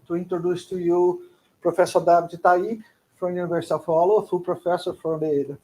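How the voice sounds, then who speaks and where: A man with a Brazilian Portuguese accent, hosting a seminar and giving a formal, halting introduction while searching for words. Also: on the high side, darkish tone, rough recording. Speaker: seminar host.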